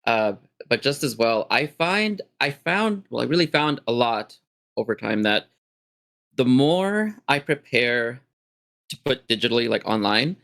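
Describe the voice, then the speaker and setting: A man's voice: medium pitch, big pitch swings, measured pace, gravelly, a bit bright. A man talking on a casual podcast as a guest, thoughtful and often stopping to rephrase himself. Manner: thoughtful, circling back to rephrase. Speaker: podcast guest and game master.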